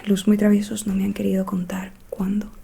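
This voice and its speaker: A woman whispering in a breathy, soothing, hushed way, playing a calm, mysterious witch fortune teller in an ASMR roleplay. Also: medium pitch, a little hiss or hum. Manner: slow, hushed, soothing delivery. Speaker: ASMR performer.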